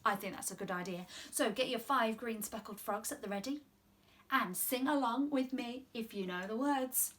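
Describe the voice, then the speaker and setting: A British woman in her twenties hosting children's story time, speaking in an animated, sing-song way with exaggerated expression. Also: fairly high pitch, normal speed, a little hiss or hum. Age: 20s. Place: small room at home, indoors.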